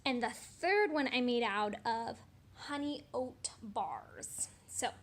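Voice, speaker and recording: A woman children's teacher with an expressive style, reading a storybook and addressing children. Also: fairly high pitch, takes it slowly, slight background noise. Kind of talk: animated storybook read-aloud for children.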